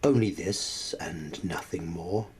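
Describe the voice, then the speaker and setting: Slow, theatrical man reciting gothic verse. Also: low voice. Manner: theatrical, often dropping to a hushed near-whisper. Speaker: poetry reader. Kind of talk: dramatic recitation of a gothic poem. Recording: noticeable background noise.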